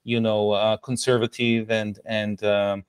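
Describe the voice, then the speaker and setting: A man's voice: fairly low pitch, little pitch movement, unhurried, smooth, no echo. A middle-aged man from Israel with an Israeli accent, answering conversationally as a podcast guest in a reflective, explanatory way. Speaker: podcast guest, product coach.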